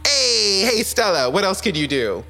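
A woman's voice: medium pitch, sing-song, moderate pace, pushed and bright, soft background music. A woman playing a cocky, clumsy, charming young space traveler in a comic sci-fi audio drama, slangy and stammering with bravado. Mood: picky, excited.

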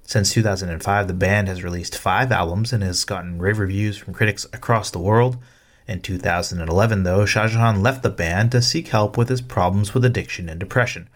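Low-voiced man hosting an interview podcast. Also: fairly quick. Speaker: podcast host. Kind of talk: scripted introduction and interview.

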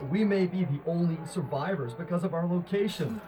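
A man's voice: a little high, brisk, warm tone, lightly acted, poor audio. A man playing a matter-of-fact radio booth technician in a radio drama, speaking in terse, plain phrases. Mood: sober.

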